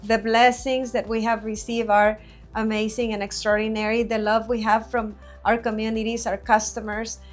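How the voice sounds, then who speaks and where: A woman from Mexico, in her forties, talks in a higher voice that stays strikingly level and even. She answers earnestly and at a measured pace, pausing on small fillers, and light music plays faintly underneath. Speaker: guest business owner. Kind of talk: interview answers. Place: studio.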